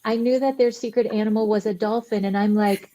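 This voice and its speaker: A woman's voice: medium pitch, fairly even intonation, fairly quick, mellow. A woman in her sixties, a veteran teacher, chatting earnestly and graciously as a guest on a video call talk show. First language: English. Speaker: guest educator. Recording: slightly dull dry video call audio.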